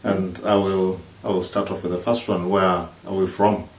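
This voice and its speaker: A man, co-hosting a vlog in halting, casual chat. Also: low voice, little pitch movement, a little mellow. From East Africa, born in Kenya, Ugandan. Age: 30s. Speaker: vlog host. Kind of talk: casual couple chat to camera. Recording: roomy, distant mic, faint noise.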